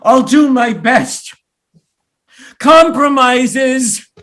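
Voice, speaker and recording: A man playing a bitter, sarcastic young playwright, the son of immigrants, in a deliberate staged play reading. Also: very high voice, lively intonation, fairly bright tone, full character voice. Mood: reluctant.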